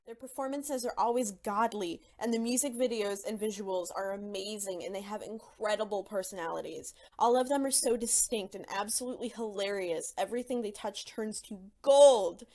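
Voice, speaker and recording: A woman's voice: mid-range voice, moderate pace, few pauses, warm tone. A young woman giving scripted opinion commentary in an opinionated, casual way with slangy phrasing. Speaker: YouTube commentator.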